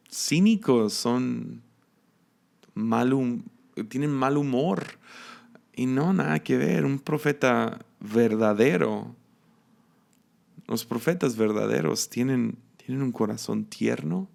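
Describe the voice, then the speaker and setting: Slow, gravelly Mexican man preaching. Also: mid-range voice, lively intonation. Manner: unhurried, musing, with frequent restarts and soft laughs. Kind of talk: reflective devotional teaching.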